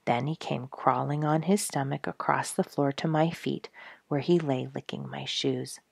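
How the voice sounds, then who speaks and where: A woman narrates a storybook in a low voice with a gravelly creak at the edges. She reads in measured, expressive phrases, like a host telling a tale. The sound is dry, with very little room around it. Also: no room sound. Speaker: story reader, show host. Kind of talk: scripted storybook narration read aloud.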